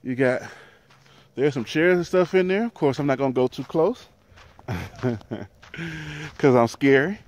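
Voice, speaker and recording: A man in his thirties, a truck driver, chatting casually to his viewers in a handheld vlog, outdoors by a highway with the phone at arm's length. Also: expressive, takes it slowly, pauses often, a little mellow. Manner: chatty, talks to viewers as "y'all", laughs easily. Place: outdoors in an empty desert town by a highway. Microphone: phone held at arm's length.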